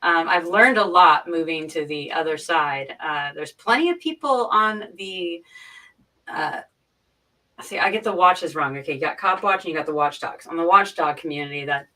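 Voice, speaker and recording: A woman talks casually as a guest on a stream, her voice forceful and on the low side. She often doubles back on her phrases as she explains. She sounds somewhat distant from the microphone. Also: normal speed. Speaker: guest on a live stream, stream producer. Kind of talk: casual chat, explaining and recounting.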